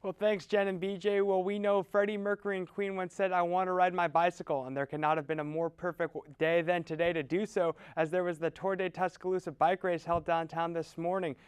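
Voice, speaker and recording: A man's voice: high-pitched, flat delivery, a little fast, few pauses, smooth, dry recording. A man reporting in a TV studio, giving a scripted introduction to a feature. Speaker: sports reporter.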